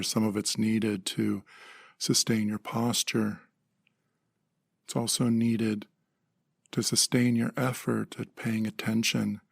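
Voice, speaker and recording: A man guides a meditation calmly, leaving pauses between short phrases. His voice is low and very husky with a lot of creak, and it stays even. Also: no room sound, clean studio sound. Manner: calm, gentle, measured phrasing with pauses.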